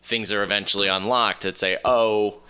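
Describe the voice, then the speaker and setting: A man with a fairly low voice, bright and very clear. He talks as a podcast host in casual discussion, stating opinions with emphasis. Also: fairly even intonation, normal speed. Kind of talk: casual film and video game discussion.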